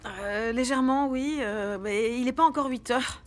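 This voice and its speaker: A woman's voice: on the high side, moderate pace, lightly acted. An actress playing a meek, flustered female employee in a satirical radio drama, speaking hesitantly with small stammers.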